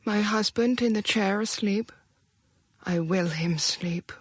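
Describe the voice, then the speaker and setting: Brief: a brave frightened young wife, husky hushed delivery, unhurried. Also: female voice, mid-range voice, dry recording, clean studio sound. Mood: fearful. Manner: hushed, breathy confession.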